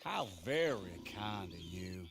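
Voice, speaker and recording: A man's voice: low voice, very animated, gravelly, noisy background, heavily acted. A man playing a smug, menacing Old West lawman who talks with mocking politeness in a game cutscene. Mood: smug menace. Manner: mocking, theatrically polite, drawn-out. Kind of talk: dramatic game cutscene acting.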